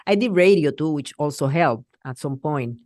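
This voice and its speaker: Woman, low pitch, dry close sound, animated, halting. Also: no room sound. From Puerto Rico. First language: Spanish. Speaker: TV journalist guest. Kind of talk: casual interview talk.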